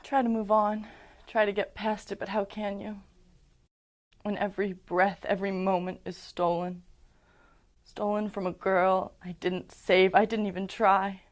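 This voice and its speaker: A young woman in her late teens performing a dramatic monologue as a guilt-ridden, haunted teenage survivor, halting and intense. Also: medium pitch, level tone, lightly acted. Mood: anguished, bitter.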